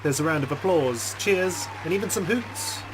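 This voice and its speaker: A man's voice: a little high, animated, normal speed, over music, low-quality recording. A man playing a visual novel, reading its first-person narration dramatically with reactions.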